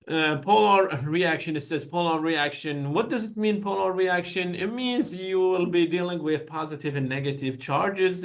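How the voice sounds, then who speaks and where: Chatty lecturer, Persian-native, slightly high voice. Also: male voice, fairly bright tone. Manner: chatty, many fillers and restarts; accented English with small grammar slips. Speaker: chemistry lecturer. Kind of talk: university lecture.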